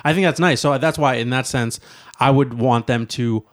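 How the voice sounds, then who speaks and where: A man with a medium-pitched voice chats casually as a co-host. He feels his way through his opinions, rambling a little and circling back to repeat phrases. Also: normal speed. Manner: tentative, rambling, repeats himself. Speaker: co-host of a talk show. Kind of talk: casual chat.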